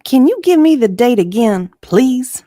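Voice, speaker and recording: Woman acting out a guarded lady witness, slow and soft-edged, stubborn but polite, really dry audio. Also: a little high, very animated, no echo. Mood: politely stubborn. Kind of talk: dramatic reading of a deposition transcript.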